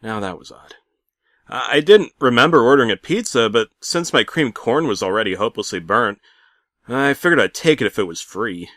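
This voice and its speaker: A man narrating a comic creepypasta theatrically, swinging between hushed and loud delivery. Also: medium pitch, expressive, normal speed, no room sound, studio quality. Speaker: horror story narrator.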